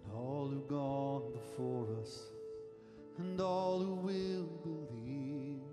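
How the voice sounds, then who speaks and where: Low-voiced woman singing slow worship. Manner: sustained, reverent singing. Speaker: worship singer. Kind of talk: congregational worship song. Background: loud band music.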